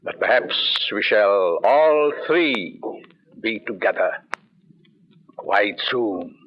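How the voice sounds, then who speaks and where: An actor playing a sinister, jealous doctor in an old-time radio drama, coldly courteous and insinuating. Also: male voice, fairly low pitch, expressive, full character voice. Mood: veiled menace. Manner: slow, deliberate, insinuating, formal. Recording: dull, lo-fi old radio recording.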